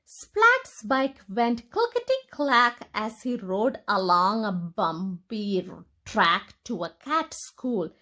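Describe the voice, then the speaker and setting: A woman reading a picture book aloud to young children in an expressive, deliberate, sing-song storytelling manner. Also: mid-range voice.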